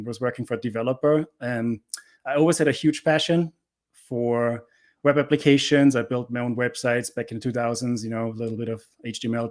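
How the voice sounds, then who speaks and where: Middle-aged man, mid-pitched. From Austria. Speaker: interview guest, cybersecurity instructor. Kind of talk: casual conversational interview answers.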